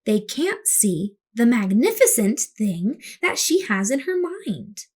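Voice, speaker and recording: A woman reads a picture book for children in a highish, very lively voice. She is dramatic and expressive, acting out words as she goes. Her delivery is slow and deliberate, made for young listeners. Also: slightly rough. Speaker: children's storyteller.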